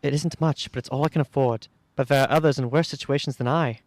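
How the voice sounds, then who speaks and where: A man's voice: medium pitch, steady tone, fast, darkish tone, dry recording, natural voice. A man playing a meek, kindly clerk in a radio play, deferential and hesitant. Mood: timid, obliging. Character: meek, humble, kindly male clerk, eager to please.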